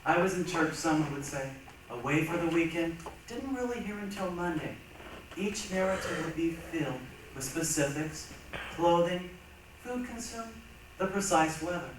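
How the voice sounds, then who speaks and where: A man's voice: a little high, animated, moderate pace, close to an everyday voice. A man performing a reader's theatre monologue as a reflective, earnest man recounting his memories. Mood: declaiming, reflective. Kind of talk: staged dramatic monologue reading. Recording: very echoey, noisy hall, distant mic.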